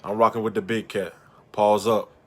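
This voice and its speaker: Low flat man reading a channel promo. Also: normal speed, noisy background.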